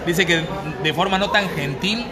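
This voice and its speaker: A man who hosts the show, asking quick, curious interview questions. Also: high voice, over a noisy crowd. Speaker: podcast host interviewer. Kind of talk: casual interview.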